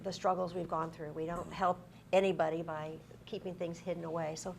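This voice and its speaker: A middle-aged woman hosts a live interview at a desk in front of an audience. She is effusive and encouraging with her guest. Her voice sits at an ordinary pitch, with some noise behind it. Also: level tone, fairly quick. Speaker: interviewer, host. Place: TV-style desk set before a live audience. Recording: clear background noise, live event.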